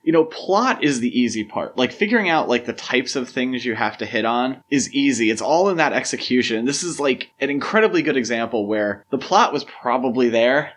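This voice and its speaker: A man's voice: mid-range voice, lively intonation, fairly quick, few pauses, a bit bright. A man co-hosting a podcast, discussing film casually in an analytical, explanatory way. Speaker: podcast co-host.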